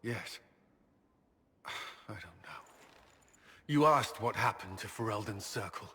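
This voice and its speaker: An actor playing an earnest, troubled former templar commander in a video game, with a breathy, husky voice. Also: male voice, on the low side, lively intonation, takes it slowly, bright, forceful tone, long reverb tail, heavily acted. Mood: anguished. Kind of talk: dramatic video game acting.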